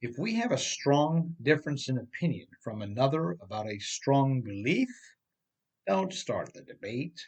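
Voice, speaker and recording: A man's voice: mid-range voice, big pitch swings, unhurried, breathy edge. A middle-aged man reading his own opinion essay aloud, conversational and folksy, putting questions straight to the listener. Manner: rhetorical questions, folksy direct address to listener.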